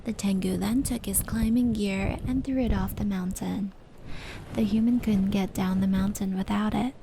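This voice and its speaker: A woman playing a gentle, knowing fox spirit in an ASMR roleplay, telling stories in a soft, breathy, soothing voice. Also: medium pitch, moderate pace, lightly acted. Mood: calm storytelling. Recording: steady rain and brushing noise layered in. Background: indoor rain patter and brushing sounds.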